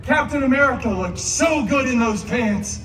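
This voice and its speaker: A man's voice: extremely high pitch, lively intonation, normal speed. A man playing a flamboyant, sarcastic antihero, telling a mock fairy tale live on stage with theatrical, chatty asides. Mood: chatty, hurried. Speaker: costumed theme park storyteller. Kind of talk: live comedic storytelling. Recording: echoey live show, distant, loud music. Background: loud music.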